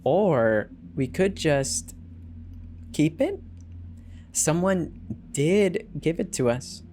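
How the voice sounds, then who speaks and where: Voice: medium pitch, animated, drawn-out words, darkish tone, slight background noise, pitched above the performer's own voice. A narrator voicing a tired, cash-strapped, argumentative parent in a dramatic story reading. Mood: defensive pleading. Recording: background music behind the voice.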